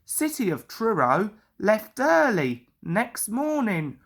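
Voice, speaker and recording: Slow, animated woman reading a children's story. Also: mid-range voice.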